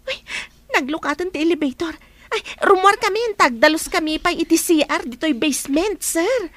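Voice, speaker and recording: A woman's voice: extremely high pitch, animated, moderate pace, fairly bright tone, no echo. A woman with an Ilocano accent playing a teasing, giggly young cleaner and cheeky friend in a radio drama, full of exclamations.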